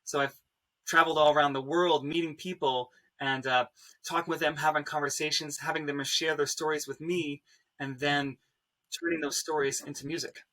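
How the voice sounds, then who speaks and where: Bright, slightly high-voiced man introducing himself. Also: level tone, normal speed, low-quality recording. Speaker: panelist, composer and pianist. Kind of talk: personal introduction on a panel.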